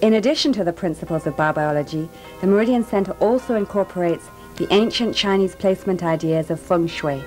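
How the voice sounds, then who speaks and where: A woman narrates a documentary in a calm, measured way, her voice sitting a little low. She explains things steadily, like a practitioner, with faint music behind her. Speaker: healthcare practitioner.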